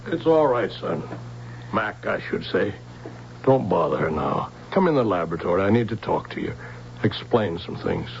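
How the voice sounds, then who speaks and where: An actor playing a gentle, regretful older scientist, fatherly and reflective, in a radio drama. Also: sits low, big pitch swings, vocal fry, exaggerated voice. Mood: soothing. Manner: reflective, kindly, measured. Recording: dull lo-fi radio recording, faint hiss.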